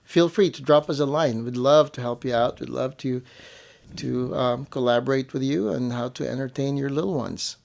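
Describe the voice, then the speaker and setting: A man's voice: mid-range voice, normal speed, gravelly, darkish tone. Casual man chatting as a parent co-host. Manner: frequent "you know", repeats phrases for emphasis. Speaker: parent co-hosting a family show. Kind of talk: casual conversational chat with partner.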